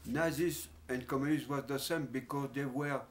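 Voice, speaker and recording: An older man with a French accent, recalling his past in an interview, speaking haltingly with many pauses and fillers. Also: mid-range voice, steady tone. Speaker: oral history interviewee. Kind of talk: reminiscing in an interview.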